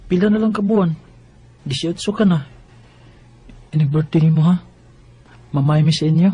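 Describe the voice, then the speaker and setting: An actor with a Filipino Cebuano accent playing an eager, insistent young sweetheart in a radio drama, with a husky voice. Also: male voice, fairly high pitch, normal speed, mellow. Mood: coaxing. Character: eager, insistent teenage boyfriend turned husband.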